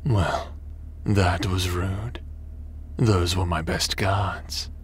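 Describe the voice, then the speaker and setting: A man playing a smug, ruthless crime boss and sci-fi villain in an audio roleplay, taunting and theatrical in a deliberate delivery. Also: deep voice, steady tone, breathy edge, full character voice.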